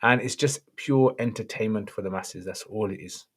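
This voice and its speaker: Man, slightly croaky, scholar on a live Q&A, fairly low voice, short direct answers. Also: moderate pace, a bit bright, slight background noise. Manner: brief, matter-of-fact answers. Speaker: Islamic scholar answering viewer questions. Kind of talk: livestream Q&A, reading out questions.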